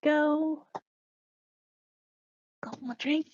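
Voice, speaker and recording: A woman with a high voice chats casually as a tabletop player. She speaks slowly. The recording is dry and a little dull and lo-fi. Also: fairly even intonation, darkish tone, slight background noise. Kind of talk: casual game chat.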